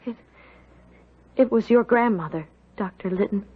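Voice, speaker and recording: A woman's voice: medium pitch, moderate pace, slightly rough, close to an everyday voice. An actress playing an earnest, respectful young servant woman in a radio drama. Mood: earnest, frightened. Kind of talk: 1970s radio drama acting and narration. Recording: dull vintage radio recording.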